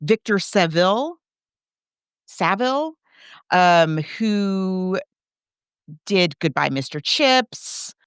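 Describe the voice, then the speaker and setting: A woman's voice: medium pitch, sing-song, drawn-out words, long pauses, clean tone, dry recording, studio quality. A woman hosting a podcast, discussing film casually in an enthusiastic, chatty, emphatic way. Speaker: podcast host.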